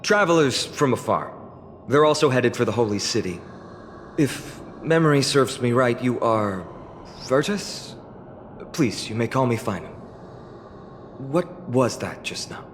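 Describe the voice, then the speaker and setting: A man's voice: medium pitch, animated, measured pace, pauses often, a little hiss or hum, close to an everyday voice. Man playing a composed young hero, reverberant. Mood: friendly, curious. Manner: composed, courteous, earnest. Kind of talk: dramatic video game voice acting. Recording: long reverb with background music.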